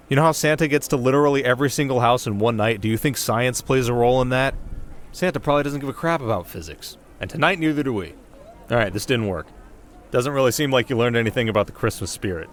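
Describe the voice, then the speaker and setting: A man's voice: mid-range voice, lively intonation, fast, slight background noise, natural voice. A man playing a cocky, playful winter spirit in an ASMR roleplay, speaking straight to the listener in flippant, sarcastic, casual banter.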